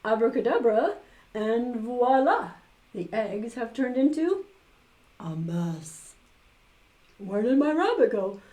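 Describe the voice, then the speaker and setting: A woman voicing a greedy, bumbling male stage magician from a storybook in a loud, theatrical, declaiming way. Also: a little high, big pitch swings, slow pace, pauses often. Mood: showy. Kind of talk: children's storybook character voice.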